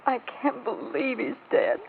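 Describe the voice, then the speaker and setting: An actress playing a devoted, emotional heroine in an old radio drama, with dramatic delivery. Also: female voice, high-pitched, unhurried, vocal fry. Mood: shaken. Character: devoted, emotional female companion of a detective. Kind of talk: 1940s radio drama acting. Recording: tinny, noisy old radio recording.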